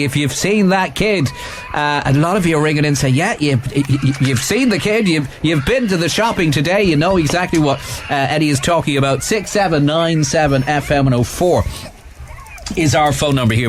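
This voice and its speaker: Male phone-in host, slightly high voice, live talk radio, some background noise. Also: brisk. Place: radio studio.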